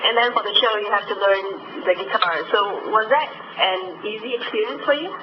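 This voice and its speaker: A woman's voice: medium pitch, brisk. A woman interviewer asking questions in a telephone interview. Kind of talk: question-and-answer phone interview. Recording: muffled telephone audio, clear background noise.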